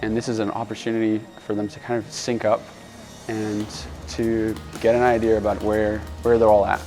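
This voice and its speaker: A man who works as a performance coach, speaking in a measured, explanatory documentary interview. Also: fairly low pitch, flat delivery, mellow, faint music behind.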